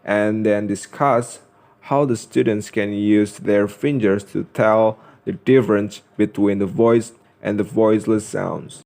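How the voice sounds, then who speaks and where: Slow, halting young man reading a lesson. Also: lowish voice, fairly even intonation, gravelly, a little mellow. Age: young adult. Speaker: student presenter. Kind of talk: scripted lesson narration.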